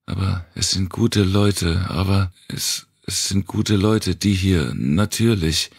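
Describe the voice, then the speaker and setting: A man doing a fiercely protective young woman, raised in pitch from a very deep, rough voice. She pleads in an urgent hush, torn and desperate, in a dry audiobook reading. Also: slow pace, dry recording, heavily acted.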